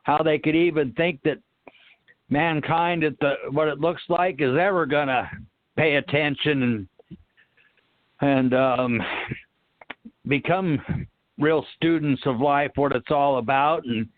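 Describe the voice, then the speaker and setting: A man's voice: on the high side, steady tone, slow pace, long pauses, dry recording. A man sharing casual spiritual reflections in a group talk, hesitant and searching for his words. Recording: dry, tinny phone-like sound.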